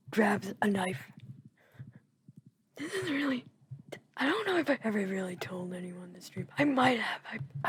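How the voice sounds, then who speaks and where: A woman's voice: medium pitch, slight background noise. A woman whispering casually while she plays a video game, rambling and musing as she goes. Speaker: video creator. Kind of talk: whispered gameplay chat.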